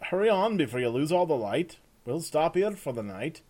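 A man voicing a friendly male sergeant who gives loud, emphatic orders. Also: a little high, very animated, moderate pace, pitched above the performer's own voice. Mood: commanding.

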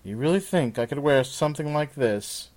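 A man's voice: fairly high pitch, lively intonation, normal speed, darkish tone, pitched above the performer's own voice, close to an everyday voice. Guy doing a headstrong fantasy heroine, blunt n demanding, voice jumps up and down. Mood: skeptical. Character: blunt, headstrong young noblewoman, easily exasperated. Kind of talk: fantasy audiobook character.

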